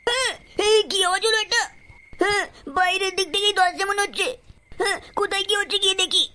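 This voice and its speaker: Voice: very high voice, steady tone, quick pace, bright, forceful tone, slight background noise. An actor playing an anxious, whiny young village boy in a cartoon, fretful and full of exclamations. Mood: puzzled. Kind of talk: Bengali cartoon acting.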